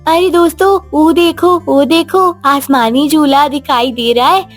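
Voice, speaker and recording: A woman voicing an excited, boastful young child in an animated story, bubbly and exclamatory. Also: high-pitched, unhurried, soft background music, voice pushed up. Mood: thrilled. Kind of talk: animated moral story dialogue.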